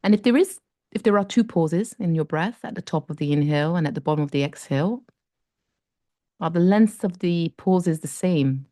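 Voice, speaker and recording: A woman guiding a breathing meditation, speaking slowly and gently with long pauses. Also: fairly low pitch, animated, no room sound, clean studio sound. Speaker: meditation guide.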